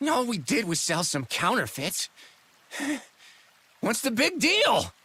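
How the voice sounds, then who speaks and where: An actor playing a greedy, smug small-time crook in a video game, gloating with a theatrical drawl. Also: male voice, high-pitched, huge pitch swings, slow, sharp tone, breathy edge, exaggerated voice. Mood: exasperated. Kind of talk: theatrical game cutscene voice acting.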